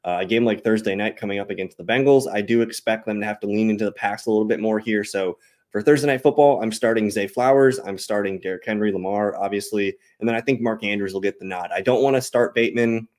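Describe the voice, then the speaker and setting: A man's voice: fairly low pitch, fast talker, darkish tone. A man in his thirties hosting a sports show, casually analyzing and reading out stats in a matter-of-fact, conversational way. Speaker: fantasy football show host.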